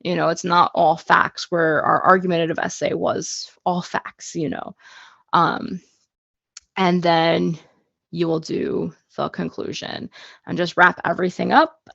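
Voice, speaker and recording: A woman's voice: fairly low pitch, little pitch movement, unhurried, vocal fry, fairly bright tone, no room sound. A woman teaching a casual online class, chatty and self-deprecating, over a muffled video call. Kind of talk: casual live online lesson.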